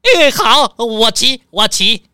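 Woman doing whiny rich car guy, dramatic show-off, slow drawn out, sharp high voice. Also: lively intonation, smooth, dry recording, clean studio sound, exaggerated voice. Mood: whiny, grumbling. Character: whiny, impatient rich male car lover. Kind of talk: children's audio detective drama.

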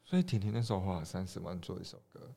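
A man's voice: low voice, animated, racing, creaky, mellow. A man hosting a podcast, asking questions in a casual, conversational way. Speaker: podcast host.

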